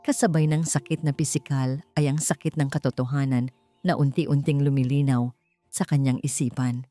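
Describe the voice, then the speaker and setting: Low-voiced woman narrating a dramatic story. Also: steady tone, normal speed, warm tone, no echo, faint music behind.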